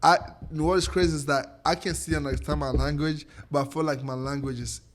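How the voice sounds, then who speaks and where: A young man with a Nigerian accent, a native speaker of a local Edo language, chatting casually and telling stories in an animated, repetitive way. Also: fairly high pitch, a little fast, crowd noise. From Nigeria, Edo State. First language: Edo State local language. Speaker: podcast guest, former college athlete. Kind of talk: casual chat.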